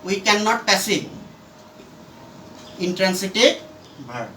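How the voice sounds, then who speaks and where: A man teaching a grammar lesson at a board, speaking in deliberate, halting, emphatic phrases, recorded from a distance in an echoey room. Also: high voice, very animated, pushed and bright. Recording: roomy echo, distant mic, faint noise.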